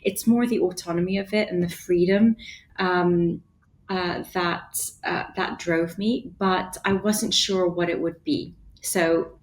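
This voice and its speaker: A woman in her thirties with a fairly low voice speaks slowly in a casual interview. She thinks aloud, often pausing with fillers and restarting her sentences as she goes. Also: fairly even intonation. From Bahrain. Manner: thoughtful, hesitant with many fillers and restarts. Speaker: interior designer and studio founder, guest.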